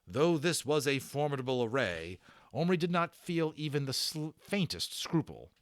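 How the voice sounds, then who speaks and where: Male storyteller, mid-range voice, highly varied intonation, dramatic children's book narration, close dry sound. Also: big pitch swings, normal speed, no room sound. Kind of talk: dramatic storybook read-aloud.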